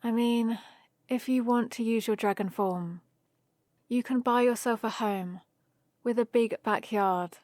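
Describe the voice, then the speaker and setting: Woman acting a slow-paced, playful young adventurer heroine. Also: on the high side, dry recording, studio quality, close to an everyday voice. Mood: explaining, coaxing. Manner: chatty, rambling, gently teasing. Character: young human heroine adventurer, playful, teasing, fond of dragon friend. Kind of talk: ASMR roleplay, one-sided conversation.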